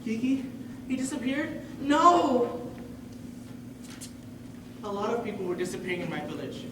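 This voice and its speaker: A young woman playing an excitable, imaginative village boy in a high school stage play, telling things with wide-eyed drama and some Spanish words. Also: a little slow. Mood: worried curiosity. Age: young adult. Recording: echoey hall from far off, noisy.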